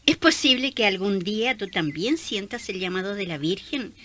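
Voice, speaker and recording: A woman playing a gentle, cheerful young missionary nun in a radio drama, affectionate and giggly. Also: medium pitch, lively intonation, normal speed. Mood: kindly.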